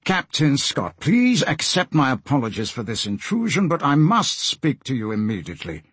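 A man's voice: medium pitch, wildly swooping pitch, moderate pace, a bit bright, breathy edge, no echo, full character voice. A man voicing a tall, intense diplomat in a storybook, formal, urgent and insistent. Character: tall, intense, impatient male diplomat on secret mission.

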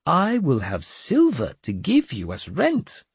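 A lofty, crafty madman of a bishop, his voice pitched high and stretched out in a slow, sing-song sermon cadence. He sounds slightly husky, and the sound is dry and close, as in an audiobook. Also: sing-song, dry recording, exaggerated voice. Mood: sly. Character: mad, sly, lofty self-proclaimed male bishop.

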